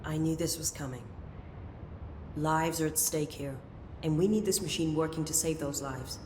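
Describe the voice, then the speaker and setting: Low-voiced commanding woman officer played by an actress. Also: fairly even intonation, moderate pace, darkish tone, a little hiss or hum, natural voice. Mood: stern, urgent. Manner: curt, authoritative. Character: commanding, guarded female agency officer leading rangers. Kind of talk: video game dramatic acting.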